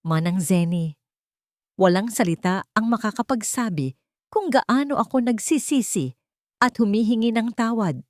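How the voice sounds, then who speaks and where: A woman's voice: mid-range voice, lively intonation, moderate pace, darkish tone, no room sound, clean studio sound, pitched above the performer's own voice, lightly acted. A woman voicing a humbled wealthy grown son, earnest and pleading, in an audio drama. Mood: remorseful. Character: remorseful rich grown son, humbled male boss.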